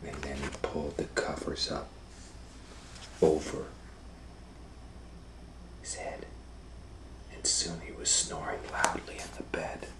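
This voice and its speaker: A man reading a children's story aloud as host, dramatically, moving between hushed and loud. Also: extremely low pitch, flat delivery, slow pace, long pauses, in a whisper, poor audio. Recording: faint background noise.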